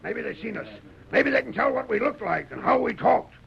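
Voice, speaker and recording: Very high, gravelly, furious outlaw boss. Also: male voice, expressive, brisk, full character voice. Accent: American Western drawl. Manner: barking, menacing. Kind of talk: 1930s-40s radio western acting. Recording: dull old radio broadcast.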